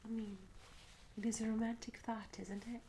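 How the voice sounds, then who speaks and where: A woman voicing a shy, curious young medieval tavern maid in a soft-spoken, half-whispered ASMR roleplay, gentle and hesitant with formal old-fashioned wording. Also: a little high, flat delivery, normal speed, a little hiss or hum, natural voice. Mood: wistful. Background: tavern ambience sounds.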